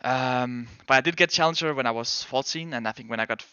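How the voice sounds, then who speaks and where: Young Danish man, bright and clear. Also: mid-range voice, a little fast, no echo, highs cut off. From Denmark. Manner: rambling, hedging, self-correcting. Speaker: game streamer and pro player. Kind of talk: casual chat answering viewers.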